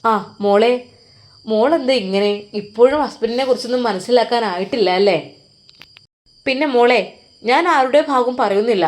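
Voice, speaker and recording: A woman's voice: on the high side, close to an everyday voice. A woman voicing a hospital doctor who lectures a young patient at length, firm and advising. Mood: lecturing.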